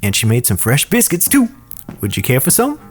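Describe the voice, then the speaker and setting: A man playing a courteous, folksy saloon keeper with a husky voice in a western audio drama. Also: mid-range voice, wildly swooping pitch, a little fast, soft background music. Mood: welcoming. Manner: folksy, polite. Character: courteous, welcoming frontier saloon keeper named Joe.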